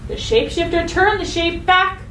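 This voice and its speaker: A woman teacher reading a story and giving a lesson to children, expressive and instructive, speaking to them directly. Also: very high voice, normal speed. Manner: expressive, instructive, addresses young listeners directly. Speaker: elementary school teacher. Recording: roomy echo, distant mic, faint noise.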